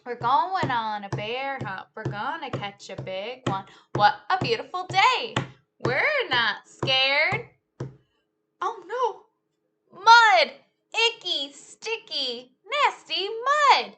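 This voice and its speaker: A woman with a high, lively, swooping voice tells a children's story as a teacher would. She takes her time, chanting and stretching key words theatrically. Some background noise sits in the recording. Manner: theatrical, drawn-out key words, shifting between hushed whispers and loud exclamations.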